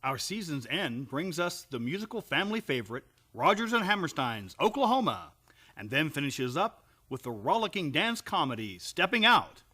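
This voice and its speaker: A man announcing in a scripted radio promo. His voice is high and bright, with big, animated swings in pitch. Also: normal speed, slightly rough. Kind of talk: scripted two-person theater advertisement.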